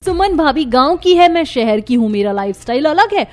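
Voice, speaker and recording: A woman plays a spoiled young city wife in a cartoon story. Her voice is high and she talks in a haughty, demanding way, sprinkled with English words. Music plays behind her. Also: big pitch swings, normal speed, darkish tone. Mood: angry, indignant. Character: spoiled, brash young modern city daughter-in-law. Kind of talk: Hindi cartoon story dialogue.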